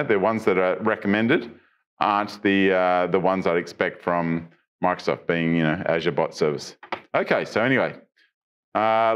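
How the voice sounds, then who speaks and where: A middle-aged man presenting tech news in a studio, chatty and casual, with many fillers and restarts, asking the viewer questions. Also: fairly low pitch. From Australia. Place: studio with branded backdrop.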